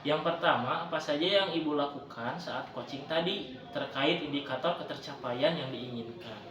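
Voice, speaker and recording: A man's voice: a little high, normal speed, dull audio. Distant man in an echoey, noisy room. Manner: polite, conversational. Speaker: observer giving feedback. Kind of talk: semi-formal feedback and questioning.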